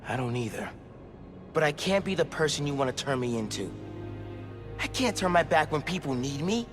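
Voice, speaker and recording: Young game hero, earnest and determined, voice swinging wide, loud score under him. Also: male voice, fairly high pitch, big pitch swings, normal speed, music in the background. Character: earnest young male hero defending his choice. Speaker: game character.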